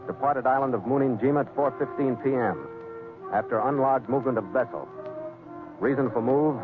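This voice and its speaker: A man's voice: mid-range voice, lightly acted. A man acting as a ship's skipper who narrates his log in a 1940s radio adventure. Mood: clipped, formal. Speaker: lead actor and narrator. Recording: tinny 1940s radio recording with music.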